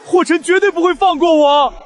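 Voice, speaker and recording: Male actor, high strained pitch, desperate cowardly underling, frantic pleading, animated melodrama. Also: brisk. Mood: panicked pleading.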